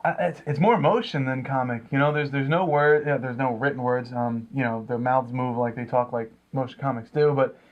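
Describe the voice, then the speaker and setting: A man's voice: medium pitch, brisk, few pauses. A man co-hosting a comics review show, chatting casually with hesitant, rambling phrasing. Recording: dull lo-fi sound.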